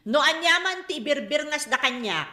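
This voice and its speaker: A woman's voice: sits high, lively intonation, a little fast, sharp tone, roomy sound. An actress playing a quarrelsome, nagging mother with a hot temper and an Ilocano accent in a radio comedy drama. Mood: angry, defiant. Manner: confrontational, nagging, demanding.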